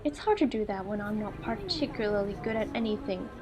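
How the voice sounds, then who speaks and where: A woman's voice: mid-range voice, animated, a little fast, lightly acted. A woman voicing an aloof, self-doubting literature student in a visual novel, reserved, dry and reluctant. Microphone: earphone mic. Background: game music.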